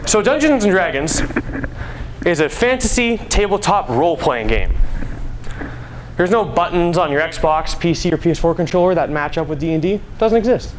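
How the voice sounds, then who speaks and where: Young man, student presenter. High-pitched, animated, conversational stage delivery with some noise behind. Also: moderate pace, rough recording. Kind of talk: prepared live speech. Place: school stage. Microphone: clip-on mic. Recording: live room, background noise.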